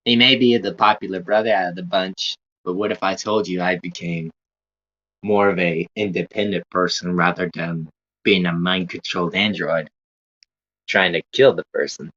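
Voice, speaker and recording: A man's voice: deep voice, fairly even intonation, moderate pace, sharp tone. A man with a heavy American Southern accent, rambling casually with viewers on a livestream. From Southern United States. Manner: rambling, laid-back, casual swearing. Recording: dull lo-fi sound.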